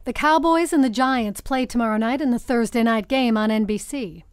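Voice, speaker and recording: An earnest mother in an audiobook drama, played by a woman. Her voice sits on the high side and she speaks in a matter-of-fact way. The sound is close and dry. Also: moderate pace, smooth, no echo, lightly acted. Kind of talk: full-cast audiobook dialogue.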